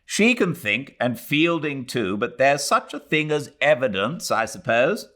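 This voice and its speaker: A man's voice: medium pitch, sing-song, unhurried, bright, forceful tone, pitched above the performer's own voice. A man voicing a pompous young English colonial official in a novel, officious, curt and impatient.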